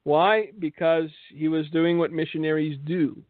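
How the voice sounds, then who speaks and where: A man hosting a religious broadcast, delivering political and religious commentary in a sardonic, rhetorical way with dramatic pauses. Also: on the high side, little pitch movement. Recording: thin phone-like band, echoey room.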